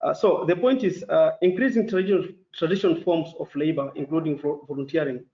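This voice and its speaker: Man. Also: fairly high pitch. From Mozambique. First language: Portuguese. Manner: careful, halting, with many fillers. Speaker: panelist. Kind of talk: formal webinar presentation. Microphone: video call. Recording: dull echoey video call audio.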